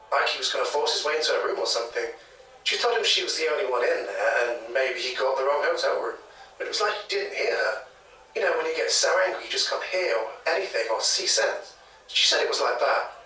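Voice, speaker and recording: A man with a British accent playing a hesitant, gossipy local retelling a ghost story in a halting, chatty way for an audio drama. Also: medium pitch, expressive, bright, forceful tone, recorded from a distance. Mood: uneasy, earnest. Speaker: interviewee, former hotel worker. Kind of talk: naturalistic audio drama interview. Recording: echoey taped interview, off mic.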